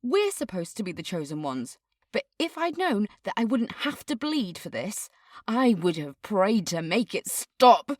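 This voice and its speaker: Defiant schoolgirl, wildly swinging pitch. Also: sing-song, unhurried, a little mellow, no echo, studio quality. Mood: defiant anger. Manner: emphatic, indignant, outspoken. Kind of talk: scripted audio drama.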